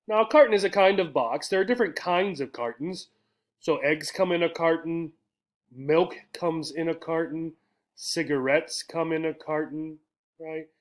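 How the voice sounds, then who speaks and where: An American man teaches English vocabulary in a fairly high-pitched voice. He explains in a didactic manner, says key words over again and often tags his points with a short check for understanding. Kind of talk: vocabulary lesson explained slowly to learners.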